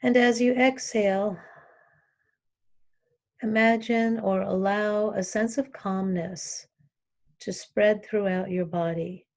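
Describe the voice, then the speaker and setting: A woman leads a guided relaxation meditation as a yoga teacher. She speaks very slowly at a medium pitch. Her instructions come calmly in short phrases, with long pauses left between them.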